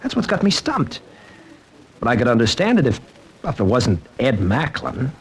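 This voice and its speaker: An American actor playing a troubled college teacher with a halting, earnest way of talking, in 1940s-style radio drama. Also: male voice, mid-range voice, huge pitch swings, breathy edge, exaggerated voice. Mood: troubled, hesitant. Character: troubled, hesitant male college teacher confiding in a friend.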